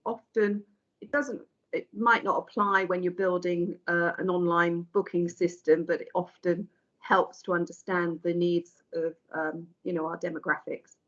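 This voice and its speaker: Brief: woman from Wales, low voice, halting questions, lo-fi call line. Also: fairly even intonation, fairly bright tone. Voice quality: hesitant, halting speech with restarts. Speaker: meeting attendee asking questions. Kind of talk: casual questions on a work call. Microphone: video call. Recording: dull lo-fi video call audio.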